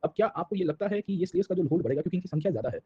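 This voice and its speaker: A man with an Indian accent and a husky, rough-edged voice gives an informal classroom lecture as a history teacher, mixing Hindi with English and often checking in with short tags. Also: high-pitched, lively intonation, rapid-fire, dry recording. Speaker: history lecturer for exam students. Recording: dull, lo-fi lecture audio.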